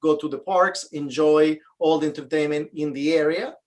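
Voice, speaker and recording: A man's voice: on the high side, steady tone, moderate pace. A man, a guest realtor, giving long explanations in casual interview chat, full of fillers.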